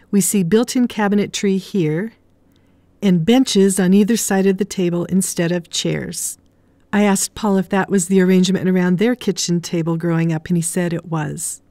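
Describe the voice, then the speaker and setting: A woman's voice: on the low side, warm tone, no echo. A woman reading scripted narration as the guide of a house tour video.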